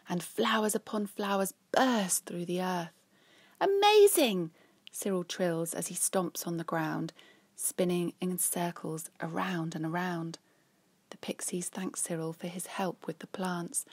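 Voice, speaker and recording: Female storyteller for young children. Husky, airy tone, very dry close sound, expressive and measured. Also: on the low side, dry recording. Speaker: author reading own children's story.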